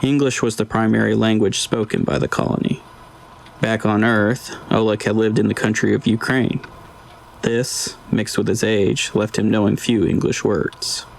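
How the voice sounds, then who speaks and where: A man reading scripted dramatic audiobook narration in a measured, deliberate way. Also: fairly low pitch, little pitch movement, creaky. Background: ambient scene sound effects.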